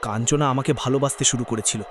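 An earnest young man in a cartoon horror story played by a male actor. His voice is mid-pitched and urgent, explaining things gravely over noticeable noise. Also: fairly even intonation, very fast, lightly acted.

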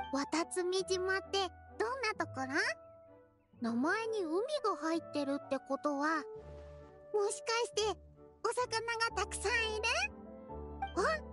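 Anime game little girl: childlike, cheerful, lively melody, prominent playful music. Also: extremely high pitch. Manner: childlike, simple, sing-song phrasing. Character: cheerful, eager, innocent little girl adventurer. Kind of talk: Japanese anime game voice acting. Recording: studio voice over playful music. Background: bright jingly children's game music.

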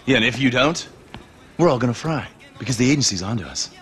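An actor playing a smug, volatile, corrupt American government agent in a video game, showy and self-righteous. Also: male voice, medium pitch, lively intonation, fast talker, fairly bright tone, lightly acted. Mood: indignant, manic. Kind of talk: cinematic video game acting.

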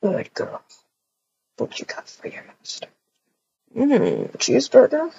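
A woman voicing a possessive, menacing male yandere captor in an amateur roleplay, talking softly and slowly in a husky whisper. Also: sits high, wildly swooping pitch, a little hiss or hum, full character voice. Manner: soft, slow, breathy whispering. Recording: dull lo-fi recording.

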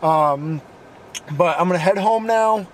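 A worn-out young man whose voice has gone hoarse, talking a bit high for a guy. He rambles casually, full of "um"s and restarts, like he is wrapping up a long day on camera. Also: takes it slowly, slight background noise. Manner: rambling, filler-heavy self-talk. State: hoarse, losing voice; very tired. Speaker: vlogger. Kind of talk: casual vlog talk.

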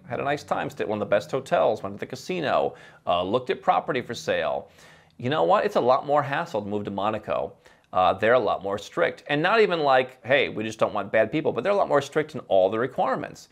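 A man, hosting an opinionated talking-head video, animated and full of rhetorical questions. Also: medium pitch. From Ohio, USA. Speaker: host. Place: dim indoor library set.

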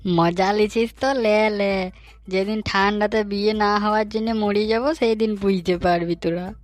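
A man playing a hapless, cheeky young bachelor in a comic cartoon, in colloquial rural western West Bengal dialect with a pitched-up voice. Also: fairly even intonation, moderate pace, faint music behind. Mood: whiny complaining. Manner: colloquial, pleading, bantering.

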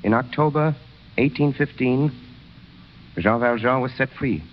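An actor narrating a classic novel as a grave storyteller in a 1930s radio drama. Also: male voice, medium pitch, measured pace, slight background noise, close to an everyday voice. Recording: muffled 1930s radio recording, music behind.

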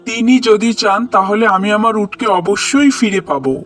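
High-voiced, devout male traveler played by an actor. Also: expressive, moderate pace, fairly bright tone, soft background music. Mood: distressed, pleading. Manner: earnest, prayerful, pleading. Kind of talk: cartoon voice acting.

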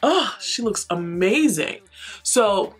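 Chatty woman beauty vlogger, breathy voice. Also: mid-range voice, takes it slowly, faint music behind. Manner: chatty, playful, excitable. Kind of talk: casual wig tutorial and chat. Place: bedroom with shelves, indoors.

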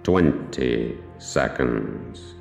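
A man voicing a cold, solemn liege with a slow, commanding, formal manner in a dark fantasy horror drama. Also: a bass voice, animated, gravelly, pitched below the performer's own voice, heavily acted. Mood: cold countdown. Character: cold, solemn male liege presiding over a deadly trial. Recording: echoing hall with long reverb, music.

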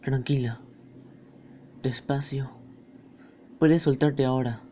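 A man's voice: medium pitch, fairly even intonation, slow pace, dark tone, slight background noise, close to an everyday voice. A man playing an earnest teenage schoolboy in a fan-dubbed anime. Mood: hushed, soothing. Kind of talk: anime fandub acting. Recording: dull lo-fi audio with music behind. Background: music.

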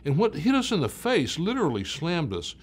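An older man, around his late sixties, speaking as a professor explaining research in a measured way, stressing key words. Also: on the high side, huge pitch swings, slight background noise. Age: older, about late 60s. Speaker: medical researcher, professor of cancer biology. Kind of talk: explanatory talk to camera about research.

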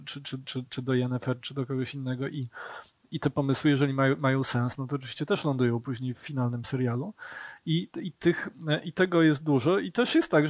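A man's voice: mid-range voice, a little mellow, no room sound. Thoughtful man, dry studio sound. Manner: thoughtful, many hesitations and repeated words. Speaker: guest, film director and executive producer. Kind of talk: casual interview talk. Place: small studio set, indoors.

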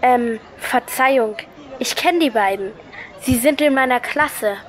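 A woman as a slow-talking, polite, crafty schoolgirl. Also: on the high side, natural voice. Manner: polite, hesitant, persuasive. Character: polite, cunning schoolgirl bluffing an adult. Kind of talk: children's audio drama acting.

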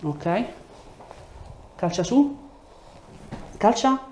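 A man's voice: high-pitched, lively intonation, very slow, a little mellow. A man, working as a chiropractor, giving a patient short instructions, check-in questions and hands-on explanations. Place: small treatment room, indoors. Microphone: lapel mic. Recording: echoey room with background noise.

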